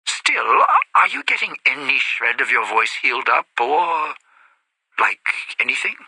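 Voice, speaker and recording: An actor playing a cynical, scheming ghoul lounge singer and smug con man in a video game, with a raspy, gravelly voice and wry, muttering showman's delivery. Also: medium pitch, very animated, unhurried, pushed and bright, no room sound, full character voice, rough recording. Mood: sly probing. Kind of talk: video game character voice lines.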